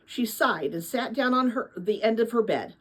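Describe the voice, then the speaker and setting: A woman narrating a storybook aloud in an expressive read-aloud style, at times casual. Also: on the high side, moderate pace, breathy edge, rough recording.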